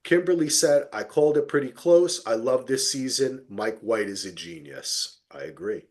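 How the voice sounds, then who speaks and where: Male podcast host, animated and informal, very varied intonation, casual recap chat. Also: medium pitch, moderate pace. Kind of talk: casual TV recap discussion, reading listener comments.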